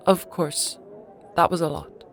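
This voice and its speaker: A woman playing a steady, caring and gently teasing confidante in a dark fantasy audio drama, speaking calmly and sincerely. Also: low-pitched, measured pace, a little hiss or hum.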